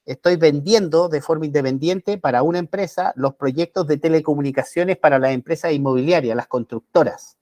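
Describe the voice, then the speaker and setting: Higher-voiced Chilean man, dry sound. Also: a little mellow, dry recording. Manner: halting, restarts words. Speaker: podcast co-host. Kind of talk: casual chat.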